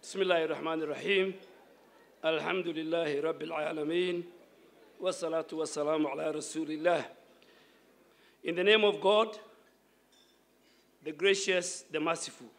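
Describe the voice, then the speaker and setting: A man's voice: a little high, steady tone, very fast, creaky, long pauses. A man giving a solemn religious recitation in an emphatic, declamatory manner. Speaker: reciter of scripture at a prayer event.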